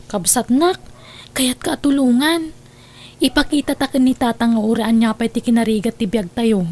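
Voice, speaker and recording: A woman's voice: a little high, darkish tone, natural voice. A woman playing a devoted, hardworking young elder sister with a Filipino accent, earnest and emotive in an Ilocano family radio drama.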